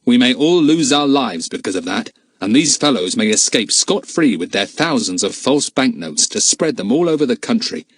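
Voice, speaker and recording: A man playing a level-headed, rueful grown protector in an audiobook, measured and plain-spoken. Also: mid-range voice, sing-song, no echo.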